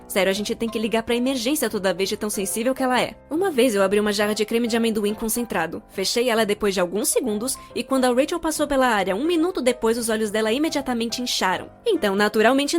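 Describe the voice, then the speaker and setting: A woman with a Brazilian accent giving a scripted comedic narration for an animated story, expressive and playfully sarcastic. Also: on the high side. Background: music.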